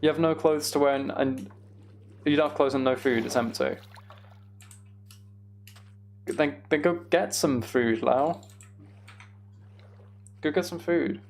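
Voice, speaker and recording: Casting: male game streamer, creaky voice, rambling and mock-exasperated, faint background noise. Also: a little high, measured pace, pauses often, a little mellow. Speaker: game streamer hosting a live chat stream. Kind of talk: casual gaming commentary and chat.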